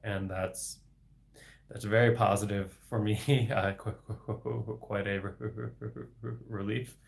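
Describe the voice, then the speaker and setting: A young man with a low, gravelly voice talks slowly on a casual solo livestream. He stutters heavily, repeating sounds and getting stuck on words, and laughs softly between phrases. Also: steady tone. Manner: heavy stutter with repetitions and blocks, frequent soft laughs. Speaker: livestream host reading viewer questions.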